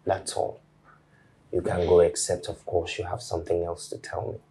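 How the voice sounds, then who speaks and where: A man's voice: sits low, completely flat delivery, normal speed, creaky, dark tone, low-quality recording. A man playing a married company boss who coldly scolds his employee. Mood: stern. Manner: cold, clipped, scolding.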